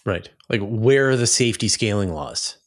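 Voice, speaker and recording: A man's voice: fairly low pitch, expressive, moderate pace. A man hosting a casual interview, feeling his way through long, thoughtful questions. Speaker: host, interviewer.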